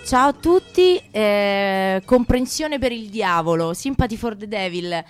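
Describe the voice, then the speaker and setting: A woman's voice: medium pitch, expressive, unhurried, soft background music. A woman hosting a radio show casually, in an informal, hesitant manner with broken-off sentences.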